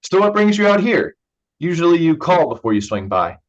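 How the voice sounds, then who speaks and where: A man's voice: fairly high pitch, big pitch swings, fairly quick. Guy acting young brother in a play, evasive n jokey, natural delivery, kinda muffled lo-fi sound. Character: evasive young man hiding a drinking habit, a joking brother. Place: remote online staged reading.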